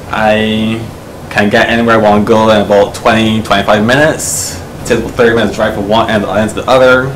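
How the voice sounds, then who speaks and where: A man from Barbados gives a casual, good-humored self-introduction at a training session. His voice sits at a middle pitch. The room is echoey and carries a steady background hum. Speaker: speech trainee. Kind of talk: practice self-introduction speech.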